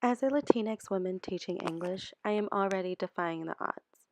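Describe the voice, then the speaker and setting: Young woman narrator, earnest scripted read, slight creak, dry close sound. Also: medium pitch, moderate pace, a little mellow, no echo. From El Salvador. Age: 20s. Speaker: student teacher. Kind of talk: scripted narration for a class video.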